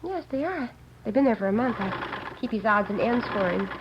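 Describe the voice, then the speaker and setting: A woman's voice: fairly high pitch, lively intonation, normal speed, natural voice. An actress playing an anxious, protective seamstress in her thirties in a 1950s radio drama, with an American accent and a hesitant, pleading manner. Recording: muffled, noisy vintage radio recording.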